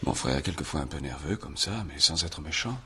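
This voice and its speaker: Very raspy, low-voiced sullen young farmer. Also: male voice, lively intonation, sharp tone, exaggerated voice. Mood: guarded. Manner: hesitant, evasive, taciturn, mumbled halting answers. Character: sullen, withdrawn 23-year-old male farmer accused of murder. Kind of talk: French radio drama acting.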